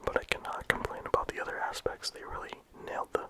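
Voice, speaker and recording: Casual whispered ASMR game review. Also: fast talker. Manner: soft unvoiced whisper throughout; casual, chatty, with frequent "like" and "um" fillers.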